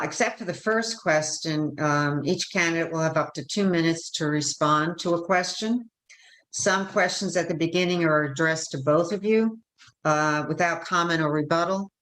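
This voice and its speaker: A woman's voice: low-pitched, fairly bright tone, poor audio. A woman moderating a candidate forum over a video call, chatty and loose, with many fillers and self-corrections.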